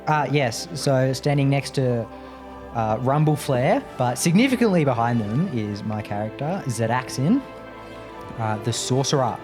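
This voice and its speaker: A man's voice: mid-range voice, animated, unhurried. A man speaking casually as a player in a tabletop roleplaying game, improvising descriptions. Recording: clear music behind. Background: background music.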